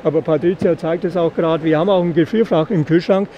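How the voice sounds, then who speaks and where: A middle-aged man explaining product features in an interview, slightly halting and repeating words. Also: on the high side, fairly even intonation, dark tone, muffled recording. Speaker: caravan company representative. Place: caravan at an indoor trade fair. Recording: clear background noise of busy trade fair.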